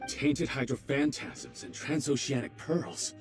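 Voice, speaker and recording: An actor playing a smug, ruthless criminal schemer in a video game, with a taunting, theatrical manner. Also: male voice, mid-range voice, unhurried, faint music behind, close to an everyday voice. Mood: skeptical. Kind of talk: video game villain acting.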